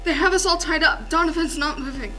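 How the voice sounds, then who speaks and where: A woman's voice: sits high, a little fast. A woman playing an anxious, earnest young heroine, a detective's companion, in an old-time radio drama. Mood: frightened. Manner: earnest, excitable.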